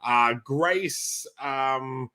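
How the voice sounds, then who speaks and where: An Australian man co-hosting a casual live-stream chat, hoarse and croaky, laid-back and laughing with many fillers. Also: mid-range voice, very slow, bright, forceful tone. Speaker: podcast co-host.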